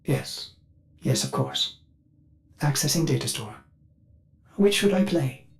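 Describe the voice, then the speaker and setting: A man's voice: a little high, expressive, slow pace, creaky, exaggerated voice. An actor voicing an anxious, devoted male ship AI in a video game, formal and deferential, with a synthetic filter. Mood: hesitant, gentle.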